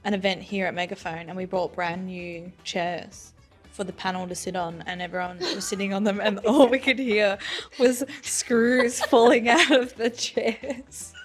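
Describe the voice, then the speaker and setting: A woman gives casual, chatty interview answers. Her voice sits at an average pitch, with music in the background. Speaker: operations staff member.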